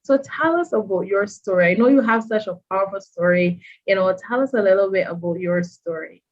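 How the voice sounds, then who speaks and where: A woman hosting an online interview show, welcoming viewers slowly and emphatically and repeating her words. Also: mid-range voice, highs cut off. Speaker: podcast host.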